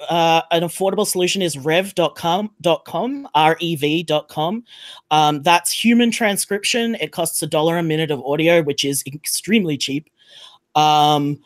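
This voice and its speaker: A woman with a fairly low voice talks on a podcast panel. She is frank and informal, speaking off the cuff in a conversational style. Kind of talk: casual panel discussion.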